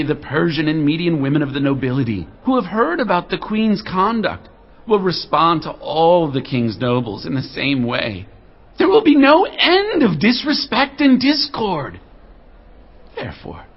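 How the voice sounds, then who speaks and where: A man's voice: a little high, breathy edge, lo-fi sound, exaggerated voice. A man playing an indignant adviser at an ancient royal court in a dramatized audio Bible, declaiming with emphatic, oratorical delivery. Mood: urging, emphatic.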